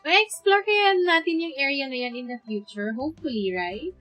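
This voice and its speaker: A woman with a Filipino accent and a fairly high voice chats through a game livestream. She reacts aloud in a casual, conversational way. Faint game music runs behind her. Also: a little fast, bright, forceful tone. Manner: chatty, reacting aloud, code-switching. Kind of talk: casual live gameplay commentary.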